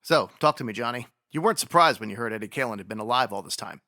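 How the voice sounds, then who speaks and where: An actor playing a gruff, weary homicide sergeant with clipped delivery in a 1950s-style radio detective drama. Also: male voice, mid-range voice, animated, quick pace, sharp tone, no echo, clean studio sound.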